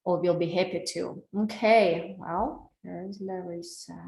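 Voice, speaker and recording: A woman's voice: fairly low pitch, steady tone, slow, vocal fry, a little mellow, reverberant, a little hiss or hum, poor audio. A woman from Russia with a Russian accent, giving an earnest, personal wellness webinar over a video call and asking her audience questions. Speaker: wellness coach. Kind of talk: live webinar presentation. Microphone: computer mic on a video call.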